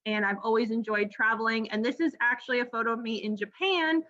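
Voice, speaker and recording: Woman in her thirties, fairly high voice, bright tone, casual presentation, muffled lo-fi audio. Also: steady tone, fast. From Miami, Florida, United States. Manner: friendly, frequent ums and restarts. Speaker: pediatric gastroenterology trainee presenting. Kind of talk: informal presentation over slides.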